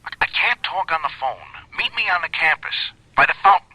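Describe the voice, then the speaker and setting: Desperate young caller: telephone-filtered, piercing, dry sound, stammering urgency, radio drama. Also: male voice, fairly high pitch, expressive, fairly quick, bright, forceful tone, dry recording, exaggerated voice, rough recording. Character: frantic young man urgently begging for help by phone.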